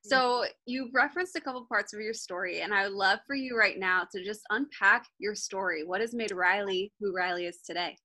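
A woman's voice: mid-range voice, brisk, hardly any pauses, dry recording. A woman hosting a faith podcast, gushing with praise and chatting casually. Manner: gushing, warm-hearted praise, filler "like". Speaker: podcast host.

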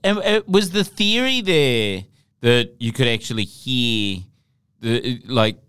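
Very clear-voiced male co-host. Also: medium pitch, expressive, takes it slowly, a bit bright, no room sound, clean studio sound. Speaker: podcast co-host. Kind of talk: casual interview chat.

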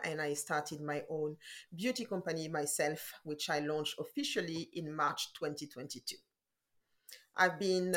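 A woman in her forties with a French accent, talking through her answers as a guest in a casual interview, often pausing on fillers and restarting. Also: fairly low pitch. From France. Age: 40s. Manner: thoughtful, with frequent fillers and restarts. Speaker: guest entrepreneur.

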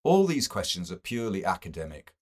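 A man's voice: low-pitched, sing-song, brisk. A man reading a novel and voicing a solemn mystic poet who makes lofty, earnest pronouncements.

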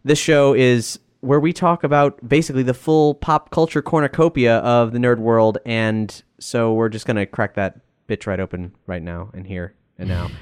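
A man's voice: medium pitch, normal speed, clear, resonant tone, dry recording, studio quality. A man hosting a podcast, reading a scripted intro and then chatting casually with frequent fillers. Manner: easygoing, hesitant fillers, joking. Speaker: podcast host.

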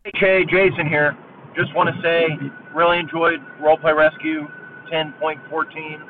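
A man with a slightly high voice leaves a friendly, casual call-in message. It sounds tinny and phone-like, with clear car noise around him. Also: little pitch movement, moderate pace, distant mic. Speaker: podcast caller. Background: vehicle noise.

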